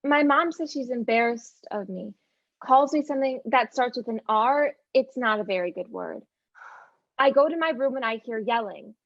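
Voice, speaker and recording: Nervous, insistent girl played by a young woman, student drama; notably high, stammering delivery, dry close sound. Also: normal speed, no echo, muffled recording. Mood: hurt, subdued. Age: young adult. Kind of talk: student one-act stage play acting.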